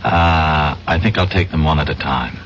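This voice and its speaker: An actor playing a stubborn, sardonic American reporter in a radio drama, blunt and defiant, on a dull, noisy old broadcast. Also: male voice, rumbling low, normal speed, a bit bright. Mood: wry, wary. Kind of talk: 1970s radio drama acting.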